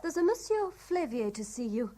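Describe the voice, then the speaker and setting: A polite, efficient receptionist in a 1970s radio drama. Her voice is fairly high and lilting, on a clearly noisy old recording. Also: sing-song, normal speed. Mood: brisk, polite. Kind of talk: radio play acting.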